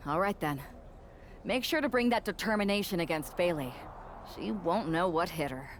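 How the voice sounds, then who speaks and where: A woman's voice: fairly low pitch, lively intonation, moderate pace, slight background noise, close to an everyday voice. A woman playing a blunt, wry ex-MMA wrestler and ally in a video game cutscene. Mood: cautious.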